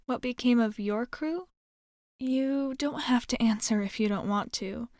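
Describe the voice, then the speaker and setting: A woman playing a gentle, curious, lonely alien space nomad in an ASMR roleplay, soft-spoken and hesitant, with a reassuring manner. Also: fairly high pitch, no room sound, clean studio sound.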